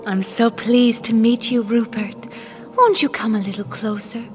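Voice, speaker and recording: A woman's voice: fairly high pitch, fairly even intonation, moderate pace, lightly acted. A woman voicing a seductive false goddess of love in a fairytale audio drama, coaxing and soothing. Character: seductive false love goddess, a man-eating plant in disguise. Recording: long hall reverb under music.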